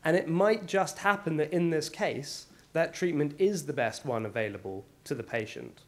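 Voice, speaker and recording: A man with a gravelly voice, hoarse from a cold, giving an academic lecture in a wry, measured way. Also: on the high side, lively intonation. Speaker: guest lecturer.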